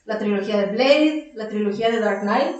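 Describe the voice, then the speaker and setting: A woman's voice: mid-range voice, moderate pace, darkish tone, muffled recording. A woman with a Mexican accent co-hosting a podcast, chatting informally and with slang about comics and audio drama. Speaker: podcast host. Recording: very echoey room.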